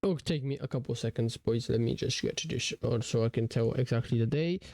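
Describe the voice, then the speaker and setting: A man's voice: medium pitch, a little fast, mellow, dry recording. Rambling young man with a cold. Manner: rambling, many fillers and restarts. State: has a cold, voice deeper than usual. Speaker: digital art YouTuber. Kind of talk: casual voice-over narration of a drawing video. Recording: faint guitar music behind. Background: faint guitar music.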